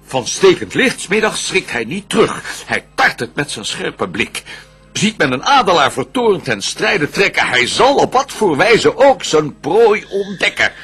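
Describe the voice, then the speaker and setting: An actor playing a proud, scheming fallen angel out for revenge, declaiming raspy verse in a radio drama. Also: male voice, high voice, big pitch swings, unhurried, fairly bright tone, faint music behind, exaggerated voice. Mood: grand, excited. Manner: declamatory, grandiose.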